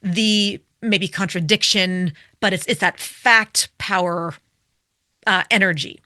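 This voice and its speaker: A woman explains vocal range as a guest expert, her bright, clear voice moving up and down to show her points. She asks questions and demonstrates as she talks. The sound is close and dry. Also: medium pitch, steady tone, slow pace, dry recording. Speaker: public speaking expert, guest. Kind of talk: explaining and coaching in conversation.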